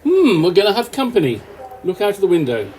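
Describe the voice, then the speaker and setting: A man playing a courteous, quietly wry train passenger in a radio play, mild and conversational. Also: sits high, wildly swooping pitch, brisk. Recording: faint noise.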